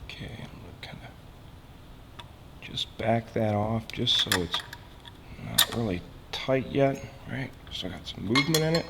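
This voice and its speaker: A man's voice: mid-range voice, lively intonation, unhurried, gravelly, pauses often, background noise. A man giving a casual hands-on tutorial, explaining each step as he works and checking in as he goes. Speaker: instructor, motorcycle mechanic demonstrating an installation. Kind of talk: hands-on how-to tutorial, talking while working. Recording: reverberant workshop with loud tool clatter.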